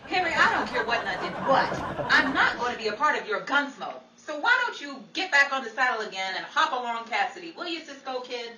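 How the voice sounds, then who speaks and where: A woman's voice: a little high, sing-song, brisk. A woman playing a skittish, wisecracking Hollywood actress turned amateur sleuth in a 1940s-style radio comedy mystery, with playful, theatrical delivery. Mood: teasing, dismissive. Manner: playful, theatrical quipping with gasps and exclamations. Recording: roomy, distant live recording, noisy crowd behind.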